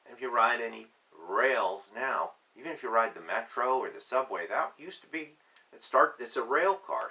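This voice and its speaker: A man's voice: medium pitch, bright, forceful tone. A man reading a children's picture book aloud, announcing letters emphatically and adding simple, teacherly explanations as he goes. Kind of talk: read-aloud with explanatory asides.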